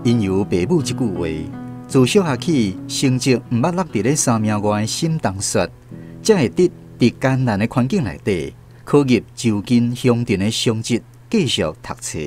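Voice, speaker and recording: A male narrator with a Taiwanese accent tells a literary story for radio drama. His mid-pitched voice moves with lively, animated intonation over soft background music. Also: normal speed.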